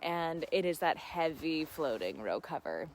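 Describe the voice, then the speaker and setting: A woman's voice: sits low, very animated, a little slow, slight background noise. An American woman from Ohio hosting a casual live gardening Q&A, answering viewers in an effusive, exclamatory, giggly manner. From Ohio, United States. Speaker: host of a live gardening Q&A, seed company owner.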